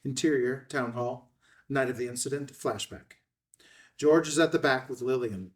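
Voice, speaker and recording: A man's voice: medium pitch, moderate pace, far from the mic. A man reading scene headings and stage directions in a clipped, scripted narration at a table read.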